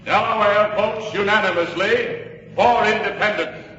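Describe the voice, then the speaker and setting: An actor playing a proud colonial delegate, declaiming in an old radio drama. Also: male voice, sits high, steady tone, measured pace, sharp tone. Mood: proud, resolute. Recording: noisy, dull, very echoing hall recording.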